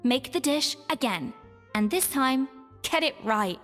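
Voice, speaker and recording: A woman voicing a stern, composed former head chef who speaks firmly and measured in a video game. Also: high-pitched, lively intonation, fairly bright tone, long reverb tail, faint music behind. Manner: firm, measured, commanding.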